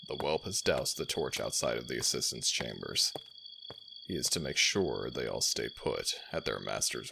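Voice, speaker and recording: A man voicing an ancient jackal god of the dead in an ASMR audio roleplay, commanding yet tender, in a deep, slow, gravelly voice. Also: background noise, heavily acted.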